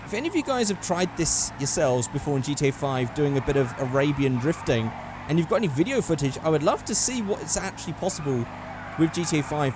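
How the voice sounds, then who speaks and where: A man with a hoarse, worn-out voice giving casual commentary over a driving game, getting excitable at close calls. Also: medium pitch, background noise. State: hoarse, losing his voice. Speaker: gaming channel host.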